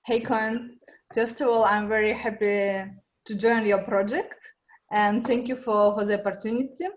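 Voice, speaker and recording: A woman's voice: medium pitch, steady tone, normal speed, dull audio. A woman from Russia who speaks, answering interview questions in a hesitant way, with many fillers and restarts. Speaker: interview guest. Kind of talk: conversational interview.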